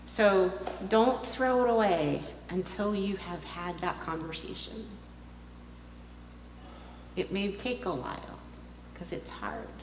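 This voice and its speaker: A woman minister speaks in a medium-pitched voice, urging her listeners directly with emphasis and pauses. It is a sermon delivered in a large, echoing hall. The recording has long reverb and an audible hum. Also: a little mellow. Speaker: minister preaching. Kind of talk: sermon, direct personal appeal. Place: large indoor congregation hall.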